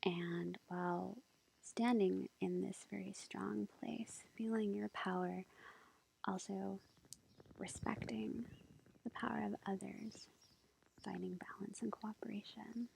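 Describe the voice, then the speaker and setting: Woman doing a sweet witch girlfriend asmr, whispery with lots of fry, gentle and slow, lo-fi with faint noise. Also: medium pitch, steady tone. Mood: tender, pleased. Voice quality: breathy, whispered. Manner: gentle, hesitant, reassuring, unhurried. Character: sweet, gentle young witch girlfriend reading tarot cards. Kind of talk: soft whispered ASMR roleplay. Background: faint ambient nature sounds.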